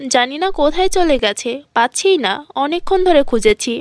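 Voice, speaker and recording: A woman's voice: high voice, animated, normal speed, no echo, clean studio sound. A woman voicing a kind, caring aunt in a cartoon fairy tale, speaking earnestly. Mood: worried. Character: kind, caring aunt, gentle wronged wife.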